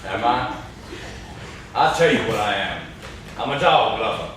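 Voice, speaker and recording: Casting: stern, mournful rustic old cabin man played by a young man, folksy drawl, forceful tone, distant mic in echoey room. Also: fairly low pitch, expressive, a little slow. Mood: accusing. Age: young adult. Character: menacing, mournful old dog-loving cabin man with a rifle. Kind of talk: amateur stage play acting. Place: classroom, indoors. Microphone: far camera. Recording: echoey classroom, distant camera mic, noisy.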